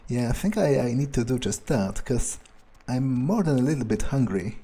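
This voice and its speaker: A man roleplaying a cold, entitled, predatory vampire, musing aloud and swearing in husky in-character game commentary. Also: mid-range voice, expressive, dark tone. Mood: brooding. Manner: muttering to himself, swearing, deliberate musing. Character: cold, cruel, foul-mouthed male vampire, entitled and predatory. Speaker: gamer playing in character.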